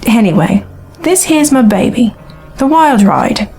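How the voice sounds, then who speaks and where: A woman's voice: fairly high pitch, sing-song, measured pace, dark tone, faint music behind. An actress playing a hard-bitten, sceptical woman reporter and pilot in a science fiction audio drama. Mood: brisk. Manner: matter-of-fact, sceptical.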